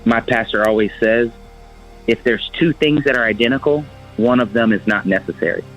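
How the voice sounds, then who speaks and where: A man giving earnest spoken advice, phrasing it haltingly. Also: mid-range voice. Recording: dull muffled audio under music. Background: music with guitar.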